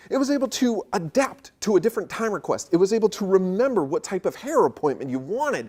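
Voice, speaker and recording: An excited, emphatic tech video host giving colloquial, enthusiastic commentary. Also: medium pitch.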